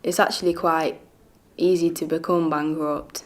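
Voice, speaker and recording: A teenage girl gives casual feedback as a student. Her voice is very low for a female and stays flat in pitch. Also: measured pace.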